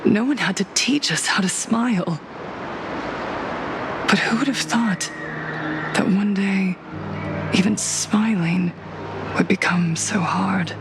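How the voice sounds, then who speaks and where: A woman's voice: medium pitch, slow, long pauses, noisy background. An actress playing a fervent woman cult preacher in a game cutscene, impassioned and sermon-like, sinking to whispers, with music behind her. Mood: zealous. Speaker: cult leader preaching to a crowd.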